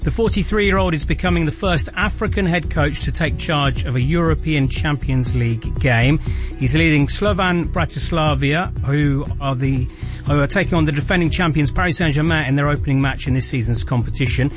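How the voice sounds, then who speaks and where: A man with a slightly high voice reads a sports news bulletin. His delivery is scripted and even. Faint music plays under his voice. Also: brisk. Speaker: sports newsreader.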